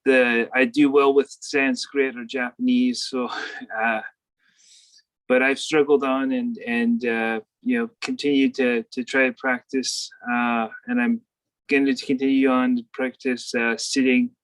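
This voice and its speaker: A newly ordained novice priest giving halting, heartfelt thanks, full of pauses and restarts. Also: male voice, mid-range voice, flat delivery, dry recording, rough recording. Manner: hesitant, full of fillers and restarts.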